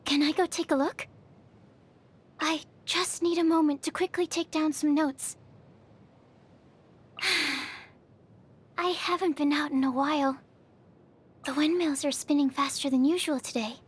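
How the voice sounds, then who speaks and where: High-voiced, shy young female alchemist. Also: steady tone, unhurried, long pauses. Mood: earnest, curious. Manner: hesitant, polite, bookish. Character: shy, earnest young female alchemist researcher, socially awkward. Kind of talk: video game character voice lines.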